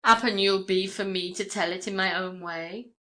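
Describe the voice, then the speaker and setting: A woman's voice: mid-range voice, steady tone, normal speed, sharp tone, close to an everyday voice. A woman voicing a shy, genial middle-aged farmer with a rustic English accent and a rambling, deferential, folksy manner in a story reading. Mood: knowing, folksy.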